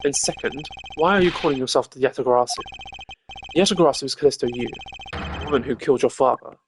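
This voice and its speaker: Man voicing a stern, formal game prosecutor, brisk delivery. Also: mid-range voice, slight background noise, close to an everyday voice. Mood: skeptical. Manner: formal, measured, stiff. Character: stern, formal, proud male prosecutor in his twenties. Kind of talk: voiced reading of video game dialogue. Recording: game music behind the voice. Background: game music.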